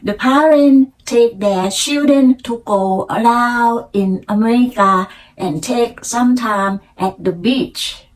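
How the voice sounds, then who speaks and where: High-voiced older Thai woman, halting English. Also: rough recording. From Thailand. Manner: halting, deliberate phrasing in learner English. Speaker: vlogger and English learner. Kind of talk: unscripted personal storytelling practice to camera.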